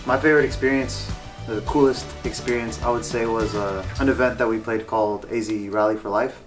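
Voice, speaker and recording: A man's voice: medium pitch, steady tone, brisk. A man in his twenties, a band member, speaking in an earnest, reflective way. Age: 20s. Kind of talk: casual chat. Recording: background music.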